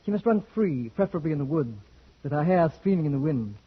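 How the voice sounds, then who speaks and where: Fast, husky, skeptical English husband. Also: male voice, on the high side, lively intonation. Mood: jovial, teasing. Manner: brisk, wry, well-bred. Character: talkative, skeptical English husband, jovial but uneasy. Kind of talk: old-time radio drama acting. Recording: dull old radio recording.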